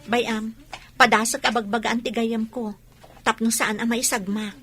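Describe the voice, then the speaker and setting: A woman's voice: on the high side, fairly even intonation, measured pace, a bit bright. An actress with a Filipino accent playing a concerned, sensible young woman who cautions others in a radio drama. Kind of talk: Ilocano radio drama acting.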